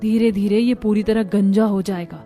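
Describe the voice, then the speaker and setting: A woman's voice: medium pitch, steady tone, normal speed, warm tone, natural voice. A woman voicing worried daughters-in-law in a cartoon family melodrama, earnest and plaintive. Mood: alarmed. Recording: music bed behind the voice.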